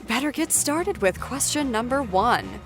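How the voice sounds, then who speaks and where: Bright-voiced woman hosting a game show. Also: fairly high pitch, very animated, a little slow. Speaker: show host.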